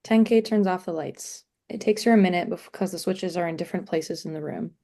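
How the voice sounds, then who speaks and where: Low, mellow, creaky woman narrator. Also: brisk. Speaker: stage directions reader. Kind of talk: scripted narration at a table read.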